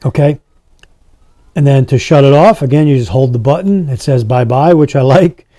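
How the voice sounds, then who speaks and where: A man's voice: mid-range voice, moderate pace, mellow, no room sound. A man giving a casual hands-on product demonstration as a reviewer, talking to his viewers. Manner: addresses viewers as guys.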